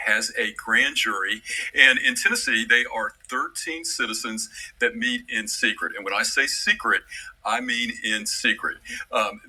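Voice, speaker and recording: Male expert guest, very bright and slightly raspy, informative interview delivery with measured hesitations. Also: fairly low pitch, low-quality recording. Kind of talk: explanatory interview talk.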